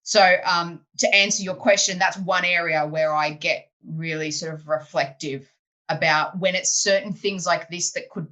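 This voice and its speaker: Bright-voiced woman, chatty host. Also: lowish voice, normal speed, low-quality recording. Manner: animated, chatty, many fillers like "you know". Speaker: podcast host and improv teacher. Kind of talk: casual interview chat.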